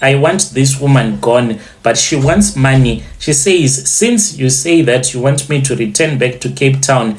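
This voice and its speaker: A man's voice: medium pitch, measured pace, hardly any pauses. Man telling a dramatic story. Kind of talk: dramatic first-person scripted story narration.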